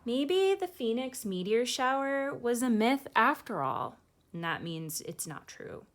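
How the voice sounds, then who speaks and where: A woman's voice: on the high side, very animated, a little slow. A woman reading a picture book aloud like a teacher, expressively, with casual asides explaining feelings to young listeners.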